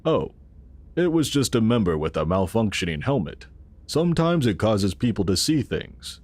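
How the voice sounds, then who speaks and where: Deep-voiced, animated cult-like founder. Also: male voice, moderate pace. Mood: courteous, reassuring. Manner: courteous, reassuring, persuasive. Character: assured male founder of a futuristic secret city. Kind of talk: audio drama acting.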